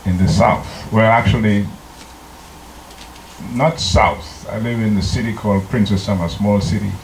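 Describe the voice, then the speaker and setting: An older man from Trinidad, a pastor preaching a slow, deliberate sermon into a handheld microphone in a church, now and then singing hymn lines. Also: fairly low pitch, slight background noise. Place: church sanctuary. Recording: echoey church room.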